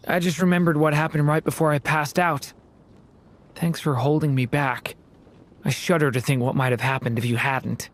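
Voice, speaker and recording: An actor voicing a gentle, shy young dragon boy in a game, soft-spoken and hesitant. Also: a little high, slight background noise, close to an everyday voice. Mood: shaken. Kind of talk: game voice acting.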